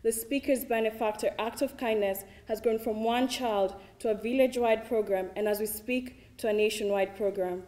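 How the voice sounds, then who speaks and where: A young woman from Kenya with a Kenyan accent, a student giving a formal, measured introduction of a lecture speaker. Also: a little high, steady tone, dark tone. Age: young adult. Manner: measured, read from a script.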